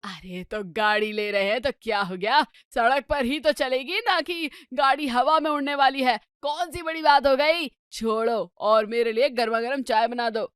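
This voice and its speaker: Woman acting an unfazed husband, high clear voice. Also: moderate pace, a bit bright, no room sound, clean studio sound, close to an everyday voice. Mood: dismissive. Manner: nonchalant, shrugging off everything. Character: unfazed, dismissive middle-aged husband and father. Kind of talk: Hindi comedy story dramatisation.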